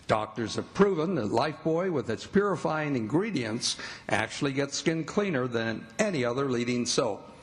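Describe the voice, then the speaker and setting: Animated male old-time radio announcer. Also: mid-range voice.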